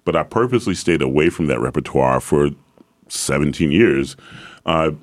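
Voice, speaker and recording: A middle-aged man with a very deep bass voice, an opera singer talking conversationally as an interview guest, telling stories with emphatic repetition. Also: lively intonation, normal speed. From Atlanta, Georgia, southern US.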